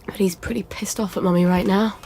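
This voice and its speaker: A woman's voice: medium pitch, steady tone, fairly quick, darkish tone, close to an everyday voice. An actress playing a harried, foul-mouthed young English mother who coos to her baby and mutters with worry in a game cutscene. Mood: worried, resolving. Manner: cooing baby talk slipping into muttered worry. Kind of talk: video game cutscene acting. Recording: clear background noise.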